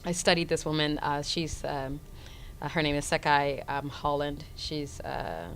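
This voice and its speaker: Low voiced actress talking thru her thoughts, lots of ums, faint rumble behind. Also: measured pace. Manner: thoughtful, many fillers, grows emphatic. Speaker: actor guest. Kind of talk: casual interview.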